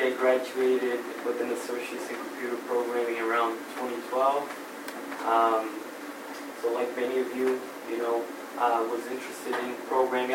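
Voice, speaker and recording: Man, thirties, monotone, casual hesitant guest speaker, distant mic, noisy background. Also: medium pitch. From Brazil, grew up in Fall River, USA. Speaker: guest speaker, programming graduate. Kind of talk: informal career talk to students. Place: indoor room with an audience. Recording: echoey room, distant mic, background noise.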